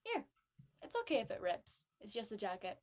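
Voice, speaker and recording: A woman playing a friendly, easygoing teenage girl, soft-spoken, in casual audio drama dialogue. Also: medium pitch, huge pitch swings, brisk. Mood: kind, easygoing.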